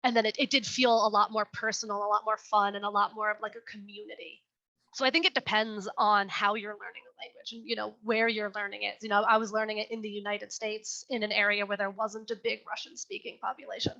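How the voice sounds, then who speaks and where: A young woman reading her poem slowly and with care, then answering questions in an earnest, chatty way over a video call. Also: mid-range voice, level tone, sharp tone. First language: English. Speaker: poet reading her work. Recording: dull lo-fi video call audio.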